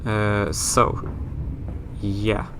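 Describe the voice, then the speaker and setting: A man's voice: on the low side, steady tone, very slow, creaky, a bit bright, a little hiss or hum. A man from Sweden with a Swedish accent, rambling and joking his way through a horror game let's play. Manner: rambling, self-mocking, swears casually. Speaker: gaming YouTuber.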